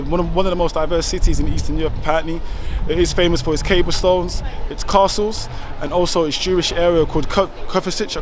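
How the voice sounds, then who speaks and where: High-voiced London man vlogging on a noisy street. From London, England. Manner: informal, repeats himself, familiar with passers-by. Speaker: travel vlogger and street interviewer. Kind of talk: casual vlog chat. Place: outdoors on a city street. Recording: noisy street, traffic and crowd noise.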